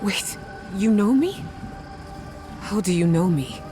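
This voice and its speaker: A woman's voice: mid-range voice, lively intonation, slow pace. An actress playing a headstrong woman adventurer in a video game, shaken and intense, bluntly demanding answers. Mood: stunned. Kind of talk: video game character acting. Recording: music behind the voice. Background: music.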